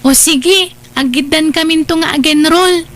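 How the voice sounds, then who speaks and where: A woman's voice: high-pitched, a little slow, fairly bright tone. A woman playing a sweet, understanding young schoolgirl in an audio drama, agreeable, with a Filipino accent.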